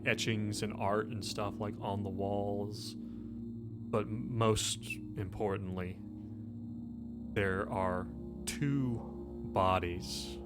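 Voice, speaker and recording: A man narrating a tabletop horror game as game master, describing things in a halting, conversational, improvised way. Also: lowish voice. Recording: music playing under the voice. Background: background music.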